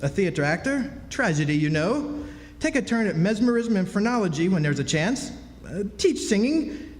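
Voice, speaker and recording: A man playing a florid, theatrical con-man drifter in an old-time radio drama, folksy and grandiose. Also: sits high. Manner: folksy, grandiose, hammy delivery. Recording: live stage in echoey hall.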